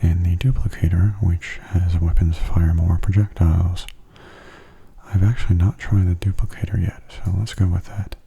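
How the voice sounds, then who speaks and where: Male ASMR gamer, very low and gravelly, soft-spoken, gentle, breathy, unhurried delivery. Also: little pitch movement. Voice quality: soft, breathy, often half-whispered. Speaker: gamer giving gameplay commentary.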